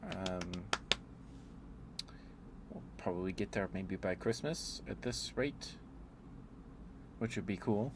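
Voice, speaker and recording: Male ASMR livestreamer, very low register, slow rambling delivery with hesitant pauses, casual chat. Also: background noise.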